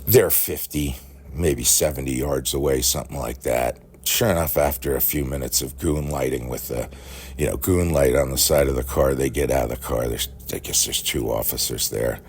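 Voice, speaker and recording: Very deep, gravelly man telling stories. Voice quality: gravelly, husky. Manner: halting, folksy, animated storyteller. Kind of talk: rambling personal storytelling, reminiscing.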